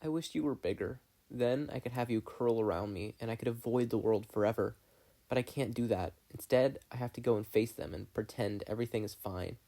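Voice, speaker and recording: A man's voice: mid-range voice, brisk, dark tone, natural voice. A man voicing an anxious young boy who is pleading and full of self-blame, in a story reading. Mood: forlorn.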